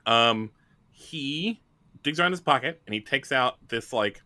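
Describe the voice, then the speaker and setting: A man with a bright, forward voice improvises scenes as a tabletop game player. His delivery is casual and conversational, recorded close and dry. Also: medium pitch, animated, a little slow, no room sound.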